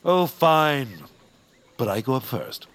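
An actor playing a grumpy, pompous villainous land baron in a family audio comedy, curt and haughty. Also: male voice, mid-range voice, big pitch swings, slow pace, a bit bright, exaggerated voice. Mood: grudgingly softening.

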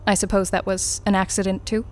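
A woman's voice: medium pitch, little pitch movement, a little mellow, a little hiss or hum, lightly acted. An actress as a coolly polite female corporate interrogator. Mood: dryly needling. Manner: brisk, formal, dryly sardonic. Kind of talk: audio drama acting.

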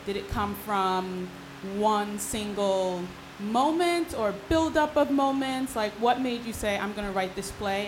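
Woman interviewer in a noisy room. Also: medium pitch. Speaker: interviewer introducing a playwright. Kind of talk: casual live interview.

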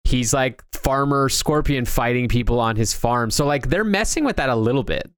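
A man's voice: medium pitch, animated, normal speed, clear, resonant tone, no echo, studio quality. A man hosting a casual pop-culture discussion, talking in a loose, rambling way and often repeating himself. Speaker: podcast host. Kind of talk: casual discussion of video game movies.

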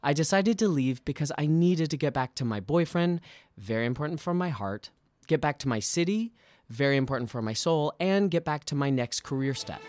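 A man who works as a stage actor reads his own scripted personal essay with polished, deliberate delivery. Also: fairly high pitch, animated, darkish tone, dry recording, studio quality. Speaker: musical theatre actor.

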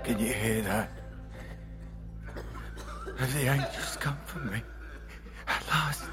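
A man performs a dying sailor, devout and feverish, in an audio drama. The words come slowly and weakly on failing breath, under loud music. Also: mid-range voice, close to an everyday voice. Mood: awed, fearful.

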